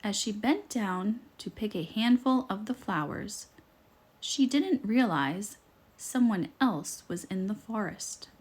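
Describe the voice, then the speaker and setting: Slow-paced woman reading a children's story. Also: mid-range voice, lively intonation, breathy edge. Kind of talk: scripted storybook narration.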